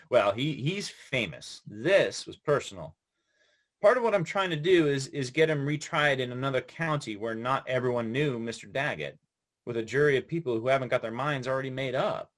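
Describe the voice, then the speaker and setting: An actor playing an earnest young defense lawyer who talks with small stammers. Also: male voice, mid-range voice, normal speed, lightly acted. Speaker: actor in a play reading. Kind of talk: stage play reading.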